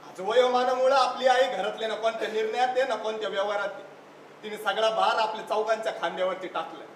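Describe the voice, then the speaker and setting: A man's voice: high-pitched, steady tone, quick pace, close to an everyday voice. A man playing an idealistic, stubborn younger brother in a live stage play, speaking in an impassioned, declamatory way. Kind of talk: live one-act stage play acting. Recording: echoey distant stage recording, faint noise.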